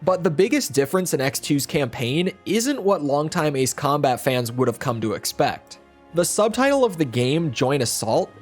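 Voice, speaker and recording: A man reading a scripted video essay voiceover as a game reviewer, slightly hoarse, explaining in a steady, upbeat way. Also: on the high side. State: slightly hoarse, near losing his voice.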